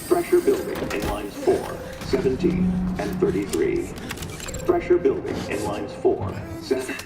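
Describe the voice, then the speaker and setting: Game computer warning voice, clipped status alerts, slow and repetitive, loud music over it. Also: medium pitch, huge pitch swings, gravelly, reverberant, full character voice. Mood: impersonal alert. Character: impersonal computer warning system of a military machine. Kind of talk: video game system announcement.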